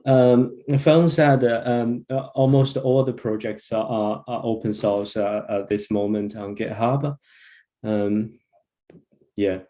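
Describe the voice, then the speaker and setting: Slow, halting Chinese-accented man, mellow voice. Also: lowish voice, fairly even intonation. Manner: halting, hesitant. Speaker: volunteer interpreter. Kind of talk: informal live interpreting.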